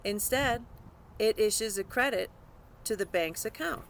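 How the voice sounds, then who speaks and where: A woman's voice: mid-range voice, unhurried, slight background noise. A woman narrating a scripted nonfiction audiobook, reading aloud.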